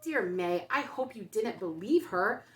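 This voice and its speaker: A woman's voice: mid-range voice, expressive, a little slow, echoey room, close to an everyday voice. A woman reading a picture book aloud as a tender mother comforting and encouraging her daughter.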